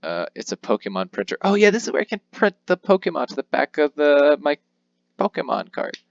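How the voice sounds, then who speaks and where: A man's voice: fairly high pitch, very animated, a little fast, vocal fry, dry recording, muffled recording. A young man casually commenting on his playthrough of a handheld game, rambling and poking fun at himself. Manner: rambling, self-deprecating, reads game text aloud in voices. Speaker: let's play host.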